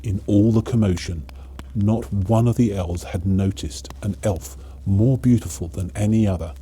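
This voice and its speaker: Man playing kindly old storyteller, low mellow voice, very husky, gentle. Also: normal speed, low-quality recording. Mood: gentle storytelling. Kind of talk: storybook narration.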